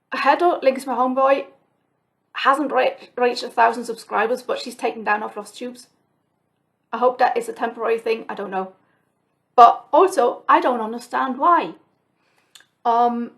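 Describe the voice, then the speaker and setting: Chatty woman, slightly high voice. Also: creaky, fairly bright tone. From Switzerland. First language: Swiss German. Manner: chatty, reflective, many ums and restarts. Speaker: flosstube host. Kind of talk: casual rambling chat to camera. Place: home, alone.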